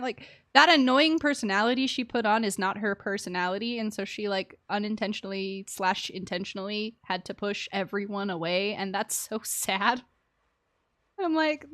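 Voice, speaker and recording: Female game streamer, higher-pitched, giggly and sympathetic, loose casual commentary sprinkled with pet names.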